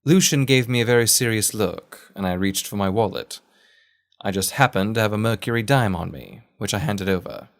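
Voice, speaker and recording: A man playing a genteel, wry gentleman who tells his adventure in formal, old-fashioned, measured phrases. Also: lowish voice, lightly acted. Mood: wry, reflective. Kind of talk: first-person dramatic storytelling.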